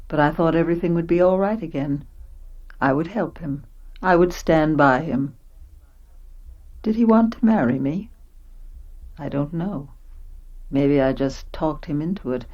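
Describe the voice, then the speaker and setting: A sorrowful woman looking back on her life as acted by a woman in a radio play. Her low voice narrates in a reflective, resigned way. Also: darkish tone, lo-fi sound. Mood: wistful.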